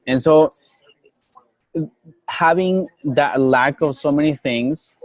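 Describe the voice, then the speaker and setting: A man's voice: fairly high pitch, takes it slowly, low-quality recording. A young man from Colombia whose first language is Nasa, speaking as a guest in an earnest way and often repeating words as he searches for them. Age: young adult. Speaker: guest, coffee business owner. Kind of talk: casual interview.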